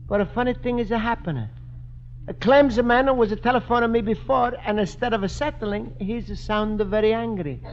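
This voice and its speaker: Very high-voiced naive Italian immigrant acted by a man. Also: close to an everyday voice. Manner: hesitant, innocent broken English. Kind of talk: 1950s radio comedy acting. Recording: dull, faintly noisy old radio recording.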